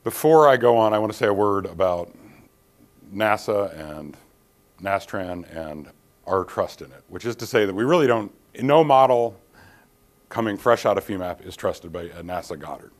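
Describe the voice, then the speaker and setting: A middle-aged man giving a technical conference presentation in a dim hall, speaking in a measured way with frequent ums and restarts. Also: on the low side, animated. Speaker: keynote speaker, engineer. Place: dim conference hall, standing by projector screen.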